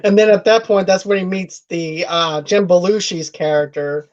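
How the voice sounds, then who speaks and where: Man host, slightly high voice, rambling casual film recap, muffled lo-fi audio. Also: steady tone, normal speed. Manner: rambling retelling with many restarts. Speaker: movie review podcast host. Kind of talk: casual chat.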